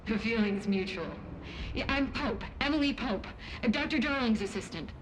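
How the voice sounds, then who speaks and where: An actress playing an eager, brainy research assistant in a video game, chatty and effusive, rambling and halting in turns. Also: female voice, lively intonation, close to an everyday voice, low-quality recording. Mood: brisk surprise. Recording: clear background rumbling noise.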